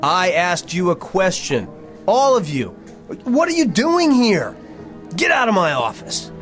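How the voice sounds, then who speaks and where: A man's voice: high-pitched, wildly swooping pitch, a little slow, over music, heavily acted. An actor playing an American businessman in his thirties, a family man who gets emphatic and indignant when confused, in an audio drama. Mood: alarmed, indignant. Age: 30s.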